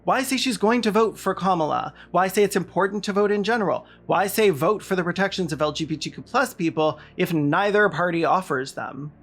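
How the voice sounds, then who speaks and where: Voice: mid-range voice, fairly quick, few pauses, fairly bright tone. A video essayist delivering scripted political commentary in an emphatic, sardonic way.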